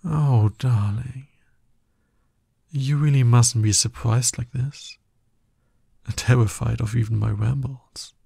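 A man playing a husky, smug, seductive villain. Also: medium pitch, steady tone, warm tone, no echo, studio quality, exaggerated voice. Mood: coaxing, knowing. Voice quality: husky, breathy. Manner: slow, condescending, teasing. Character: smug, seductive, dominant male villain courting his hero nemesis. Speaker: voice actor in an ASMR roleplay. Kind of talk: ASMR roleplay monologue.

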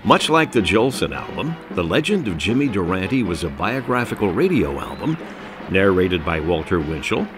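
A man's voice: sits low, very animated, moderate pace, over music. A man reading scripted narration for a documentary.

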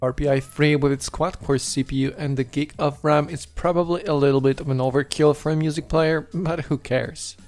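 A man's voice: on the high side, steady tone, moderate pace, hardly any pauses, darkish tone. A man hosting a tech video, reading a scripted how-to voice-over. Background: background music.

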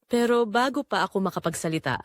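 Animated woman narrating a dramatic story. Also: medium pitch, quick pace, no room sound, studio quality.

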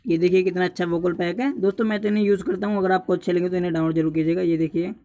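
A man's voice: sits high, fairly even intonation, very fast, dark tone, slight background noise. A young man narrating a casual tutorial, repeatedly urging and addressing his viewers as friends. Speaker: tutorial YouTuber.

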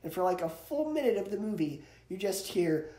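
A man's voice: high-pitched, sing-song, normal speed, dark tone. A man, giving a casual, opinionated scripted movie review as a countdown. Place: small indoor bedroom with posters. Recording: roomy, echoey home recording.

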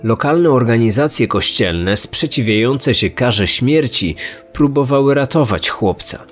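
A man's voice: medium pitch, animated, measured pace. Male true-crime narrator over music. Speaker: narrator, podcast host. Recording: music under the voice. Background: background music.

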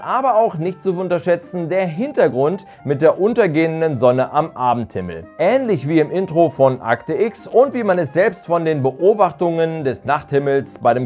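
A man, narrating a scripted review in measured, carefully worded storytelling as a channel host. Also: fairly high pitch, darkish tone. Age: 30s. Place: small furnished room, indoors. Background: background music.

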